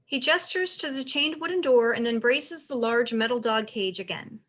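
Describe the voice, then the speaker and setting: Slightly high-voiced woman narrating stage directions. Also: moderate pace, fairly bright tone. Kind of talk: scripted narration.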